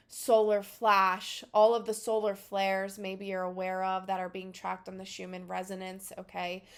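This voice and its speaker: A woman's voice: mid-range voice, clean tone. A woman in her thirties with a Philadelphia accent, talking casually to camera as a spiritual channel, rambling with many fillers.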